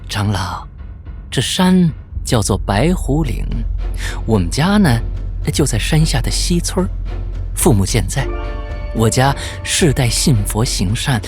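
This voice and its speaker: A storyteller doing a slow, coaxing demon maiden. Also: medium pitch, very animated, a little mellow, soft background music, voice pushed down. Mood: ingratiating. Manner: polite, coaxing, honeyed. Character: sweet, sly demon posing as pretty young woman.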